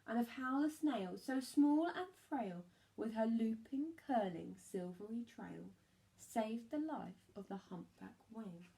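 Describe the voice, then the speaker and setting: A woman narrating a rhyming children's story like a primary teacher, expressive and emphatic on key words. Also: a little high, low-quality recording.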